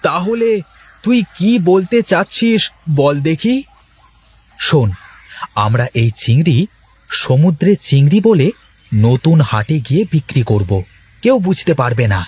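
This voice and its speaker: A man voicing a poor, excitable village fisherman in a folksy moral-story cartoon. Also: on the high side, measured pace, pauses often, breathy edge, heavily acted. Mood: earnest, arguing.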